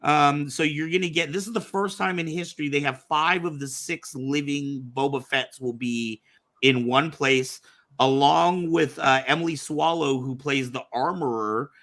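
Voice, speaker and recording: Bright-voiced American male podcast host. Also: on the high side, fairly even intonation, measured pace. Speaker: talk show host. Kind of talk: casual podcast chat.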